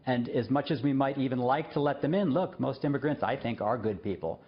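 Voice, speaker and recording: Man guest on news interview, mid pitch, earnest, fillers, slightly dull audio. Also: animated, a little fast. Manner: earnest, with many fillers and short laughs. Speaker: guest, journalist and former diplomat. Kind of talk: remote interview.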